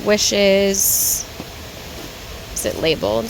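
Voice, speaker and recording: A woman's voice: mid-range voice, extremely slow, vocal fry, slight background noise, low-quality recording. Tired, chatty woman plant vlogger. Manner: chatty, rambling, names plants as she browses. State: tired and short on sleep, a little raspy. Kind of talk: casual walk-and-talk vlog. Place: garden center shop floor.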